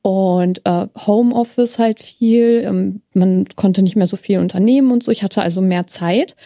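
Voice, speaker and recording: A woman's voice: medium pitch, unhurried, dark tone, no echo, clean studio sound. A woman who streams, talking casually and candidly as an interview guest, often searching for her words. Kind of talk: casual personal storytelling in an interview.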